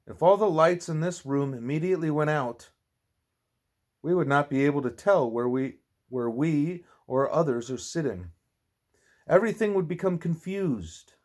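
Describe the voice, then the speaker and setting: A man reading a spiritual teaching aloud like an audiobook, occasionally stumbling and repeating words. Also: mid-range voice. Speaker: audiobook reader.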